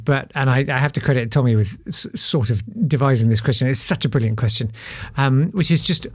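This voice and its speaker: Male host, mid-pitched, relaxed and chatty, stops and restarts to find words. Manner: easygoing, chatty, hesitant fillers. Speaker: podcast host. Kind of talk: casual interview chat.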